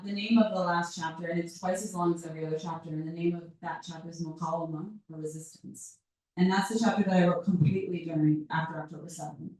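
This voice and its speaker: A woman's voice: fairly low pitch, a little mellow. A woman author talking at a live book event, reflective and searching for words as she answers. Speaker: author in conversation. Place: event room with audience. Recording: roomy hall picked up from far off.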